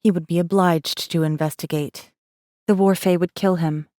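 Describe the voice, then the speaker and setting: A woman's voice: fairly low pitch, fairly even intonation, moderate pace, dark tone, no echo, clean studio sound, close to an everyday voice. An actress playing a guarded, wry rebel messenger, narrating her own story in an intimate, wary, sardonic way for a space fantasy audiobook. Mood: guarded, brooding. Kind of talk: first-person dramatic audiobook narration.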